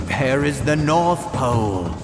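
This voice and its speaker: A man voicing a cheery, hearty train conductor in a storybook audiobook, with animated, theatrical delivery. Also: medium pitch, slow pace, long reverb tail, noisy background, pitched above the performer's own voice. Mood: announcing. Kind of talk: audiobook character voice.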